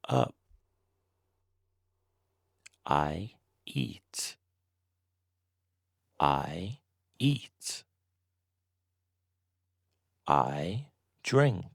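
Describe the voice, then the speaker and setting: A man reading a slow, scripted beginner English lesson, saying each short sentence twice with deliberate pauses. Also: rumbling low, creaky, dry recording, clean studio sound. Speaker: English teacher.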